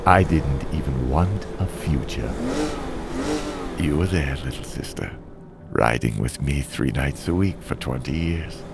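An actor playing a bitter, self-loathing demolition derby driver, narrating a motion comic with brooding, theatrical, drawn-out phrasing. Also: male voice, extremely low pitch, creaky, very noisy, full character voice. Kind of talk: dramatic first-person comic narration.

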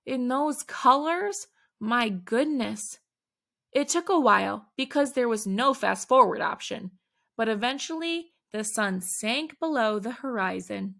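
Slow, bright-voiced woman reading aloud. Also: on the high side, creaky. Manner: animated, dramatic emphasis on exclamations. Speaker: children's book reader. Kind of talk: expressive read-aloud storytelling.

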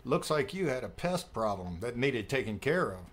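A man playing a middle-aged, protective and affectionate father with a gentle, dry teasing manner in an audiobook drama. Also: mid-range voice, big pitch swings, moderate pace, close to an everyday voice. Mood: warm, teasing.

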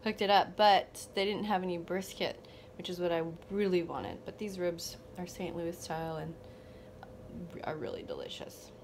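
A woman's voice: lowish voice, animated, normal speed, a little hiss or hum. A woman around her thirties talking casually, as in a personal vlog. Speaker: vlogger, singer-songwriter.

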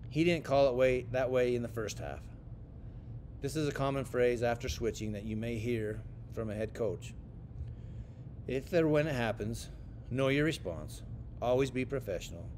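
An American man giving scripted training narration as an instructor for sports officials, in a measured, instructional way. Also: darkish tone. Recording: clear rumbling background noise.

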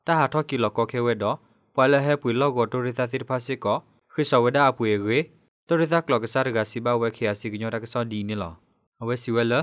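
A man reads scripted radio news in a medium-pitched voice. The recording is dry, with no room sound around him. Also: clean tone, dry recording. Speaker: news presenter.

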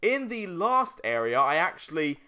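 Man, gaming host, bright voice, highly animated intonation, casual joking banter. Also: normal speed, clean tone. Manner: joking, easily amused. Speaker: let's play host and gamer. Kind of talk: casual chat over competitive gameplay.

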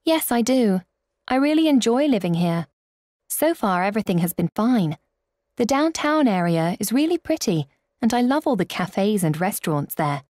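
A contented woman played by an actress makes scripted small talk for a language course. Her voice is medium-pitched and very varied, recorded dry. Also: big pitch swings, normal speed, darkish tone, dry recording, close to an everyday voice.

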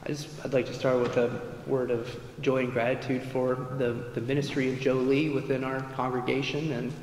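A man leading a congregation into prayer time, unscripted and halting with fillers. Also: medium pitch, little pitch movement, a little mellow. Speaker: pastor. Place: large church sanctuary. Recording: echoey church from afar, faint noise.

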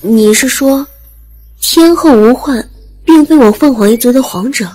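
A woman's voice: sits high, a little slow, a little mellow, soft background music, pitched above the performer's own voice, poor audio. A woman voicing a frank, self-deprecating young phoenix in an audiobook, speaking in an informal, protesting manner. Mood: puzzled, probing. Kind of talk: audiobook character acting.

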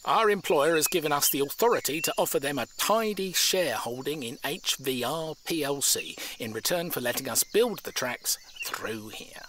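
An actor playing a blunt, smug railway surveyor in an audio drama, officious and dismissive. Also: male voice, fairly high pitch, lively intonation, normal speed, hardly any pauses, fairly bright tone, a little hiss or hum. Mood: smooth assurance. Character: blunt, smug male railway surveyor defending his employer.

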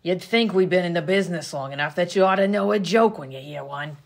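A woman playing a gruff, bossy 1920s vaudeville comedienne, slangy and sarcastic, in an old-time radio comedy sketch. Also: on the low side, quick pace. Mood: sardonic. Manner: brash, slangy, sarcastic insults.

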